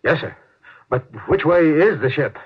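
Jittery space officer, old 50s radio drama, halting, very up and down, muffled. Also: male voice, fairly high pitch, expressive, breathy edge. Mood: flustered. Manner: hesitant, halting, deferential. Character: earnest, nervous male spaceship officer, deferential. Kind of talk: 1950s radio acting. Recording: dull 1950s radio broadcast.

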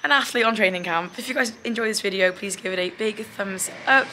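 A young woman with a hoarse voice, chatting upbeat to a handheld camera at a running track, laughing often. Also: mid-range voice, brisk, pushed and bright, a little hiss or hum, low-quality recording. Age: young adult. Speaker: athlete vlogger. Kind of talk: casual vlog to camera. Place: outdoor running track and hotel rooms. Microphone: handheld camera at arm's length.

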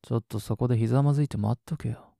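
A man's voice: mid-range voice, racing, vocal fry, dark tone, dry recording, studio quality. A man playing a sadistic, possessive boyfriend, cold, taunting and commanding, in a situation voice drama. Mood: domineering, menacing. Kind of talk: Japanese situation voice acting.

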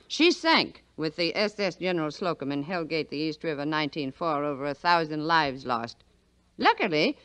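Imperious old widow, swooping delivery. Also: female voice, sits low, fairly bright tone, no echo. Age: older. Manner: haughty, dryly sardonic. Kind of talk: 1940s radio acting.

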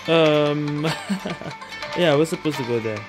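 Guy casually talking to himself while gaming, music really loud behind him. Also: fairly high pitch, slow. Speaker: gamer. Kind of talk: casual gameplay commentary. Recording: loud game music over voice.

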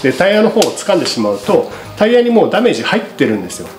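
A man's voice: high-pitched, very animated, racing. Middle-aged man explaining in a roomy space. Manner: polite, explanatory, unscripted. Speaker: bicycle shop presenter. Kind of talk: casual product explanation to camera. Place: small indoor studio with paper backdrop. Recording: roomy echo, music behind.

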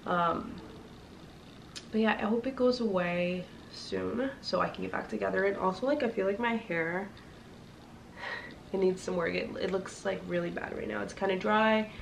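A woman's voice: medium pitch, normal speed, vocal fry. A young woman with a congested, sick voice, chatting casually to her viewers as a fashion vlogger, rambling with lots of fillers. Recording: slightly distant mic with faint noise.

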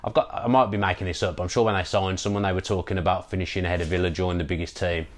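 Brief: thirties bloke, low voice, rapid football chat over a video call, repeats words as he thinks. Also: steady tone. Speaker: football podcast co-host. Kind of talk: casual post-match football chat. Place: home room, indoors. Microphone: video call with earphone mic.

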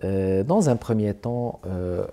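A middle-aged man hosting an arts interview show in a TV studio, speaking in a formal, measured presenter manner. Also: lowish voice, animated, mellow. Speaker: TV host.

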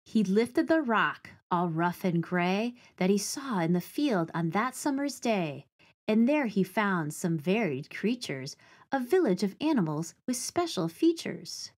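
A woman in her forties reads a children's storybook aloud, speaking clearly and deliberately with an expressive storytelling delivery. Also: medium pitch. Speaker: teaching artist reading aloud to children.

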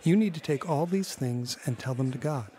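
An actor playing a reassuring church pastor in a radio drama. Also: male voice, fairly high pitch, moderate pace, dark tone, natural voice. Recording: slight noise and ambience.

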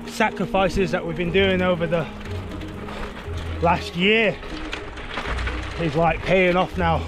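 A breathless cyclist in his thirties talks straight into the bike-mounted camera on an open road, his voice sitting high for a man. He pushes his words out between pedal strokes, and loud music plays under everything he says. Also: steady tone, slow. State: out of breath and sweaty. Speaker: cycling vlogger. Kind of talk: casual vlog while riding. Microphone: action camera mounted on the bike.

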